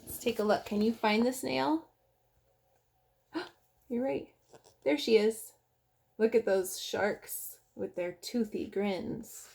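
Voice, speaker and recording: A woman reading a picture book aloud to young children in an expressive way, with dramatic emphasis, speaking straight to her listeners. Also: on the high side, slow pace, gravelly, long pauses. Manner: addresses young listeners directly with questions.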